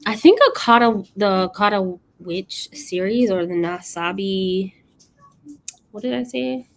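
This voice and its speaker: A woman in her late thirties co-hosting a casual book chat, chatty and quick to laugh, reading out viewer comments. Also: medium pitch. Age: late 30s. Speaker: co-host of a book livestream.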